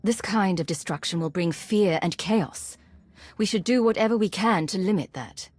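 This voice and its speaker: An actress playing an earnest, idealistic commander in a video game, urgent and determined. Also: female voice, medium pitch, expressive, normal speed, close to an everyday voice.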